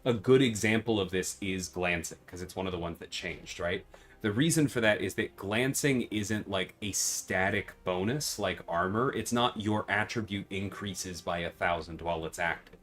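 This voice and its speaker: A man's voice: sits low, normal speed, a bit bright. A man hosting a livestream, talking casually and explaining things in a conversational way, often checking in with a "right?". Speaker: livestream host and game developer.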